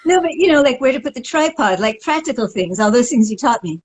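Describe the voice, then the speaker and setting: A woman's voice: fairly high pitch, expressive, quick pace, a little mellow, breathy edge. A woman in her sixties, from Montreal, answers interview questions in a dry, wry, thoughtful way. She speaks over a video call from her living room. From Montreal, Canada. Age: 60s. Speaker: guest. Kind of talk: casual interview.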